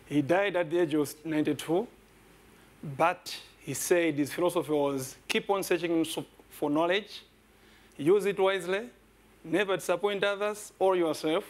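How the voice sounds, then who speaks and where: Male contestant, slightly high pitch, respectful and earnest. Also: moderate pace.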